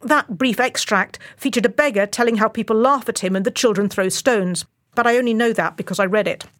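A woman reporter delivering a scripted radio feature with clear, explanatory narration. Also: a little high, brisk, fairly bright tone.

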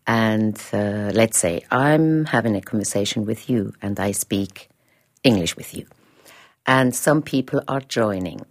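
Swiss woman interviewee, deep voice, slow and hesitant delivery, radio interview. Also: a little mellow. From Switzerland. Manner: hesitant, many fillers, thoughtful. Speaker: interviewee, head of a language department.

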